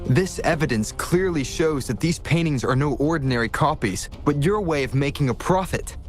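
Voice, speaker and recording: A man's voice: on the high side, lively intonation, moderate pace, faint music behind, lightly acted. An actor playing a level-headed, decisive man in a video game, matter-of-fact and assertive. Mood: firm.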